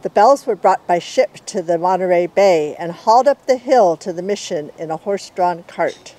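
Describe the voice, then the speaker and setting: Woman, sixties, American accent, author, storybook read-aloud, medium pitch. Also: expressive, moderate pace, mellow. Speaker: author reading her picture book aloud. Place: outdoor walled courtyard in daylight.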